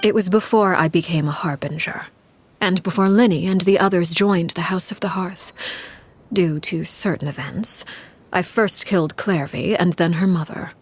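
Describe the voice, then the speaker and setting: A cold, composed matriarch from a story-driven game, her voice low-set and husky with a breathy hush. She speaks slowly and quietly, in full control of every word. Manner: measured, calm, quietly commanding. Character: cold, composed woman leading a household of orphans.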